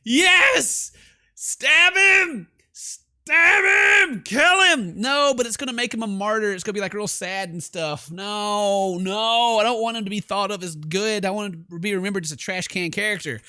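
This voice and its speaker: A man doing jokey, theatrical let's play commentary, excitable and slangy, reading game text dramatically. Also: high voice, normal speed, dry recording, studio quality. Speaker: gaming streamer. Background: game music.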